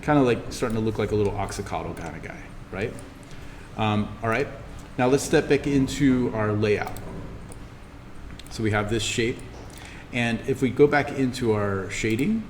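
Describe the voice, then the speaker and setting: A man giving an informal in-class software tutorial as the instructor, thinking aloud haltingly as he demonstrates. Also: fairly low pitch. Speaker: college instructor. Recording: reverberant room, clearly noisy background.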